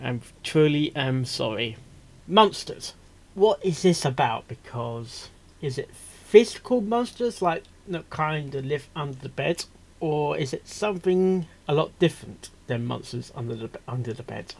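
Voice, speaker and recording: A man's voice: on the high side, lively intonation, a little slow, creaky, a little mellow. A man giving casual reaction commentary as host, musing aloud in questions and repeating his phrases.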